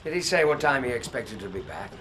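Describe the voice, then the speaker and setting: An actor with an English accent playing a genteel Victorian doctor in a radio drama, measured, literate and courteous. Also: male voice, medium pitch, sing-song, a bit bright, slight background noise. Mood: wry, fond. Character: genteel Victorian doctor, wry loyal companion and storyteller. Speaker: actor and narrator. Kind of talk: period radio drama narration and dialogue.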